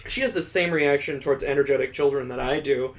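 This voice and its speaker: A man's voice: mid-range voice, fast, a little hiss or hum. A man hosting a casual read-aloud of a visual novel, rambling off into wry asides as he goes. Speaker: gaming host.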